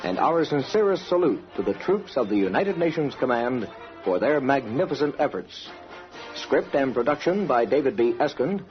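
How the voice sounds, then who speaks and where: Formal American army radio announcer, muffled. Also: male voice, mid-range voice, big pitch swings, normal speed. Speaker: announcer, army sergeant major. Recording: dull old radio recording, music behind.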